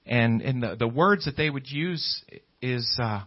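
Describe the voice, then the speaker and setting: A man's voice: medium pitch, expressive, unhurried, muffled recording. A man preaching a Pentecostal-style sermon to a congregation, speaking emphatically, repeating phrases and prompting listeners for agreement.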